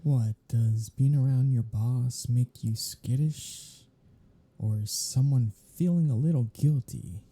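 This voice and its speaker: A man playing a captive vampire in an audio roleplay, snarky, taunting and flirtatious, drawling sarcastic lines in a husky, gravelly voice. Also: medium pitch, takes it slowly, mellow. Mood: wry, taunting. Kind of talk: scripted audio roleplay monologue.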